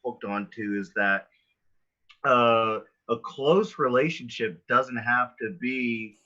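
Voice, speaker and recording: A man from a marketing team speaking casually on a developer panel, with a halting, hesitant delivery. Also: mid-range voice, fairly even intonation, sharp tone, lo-fi sound.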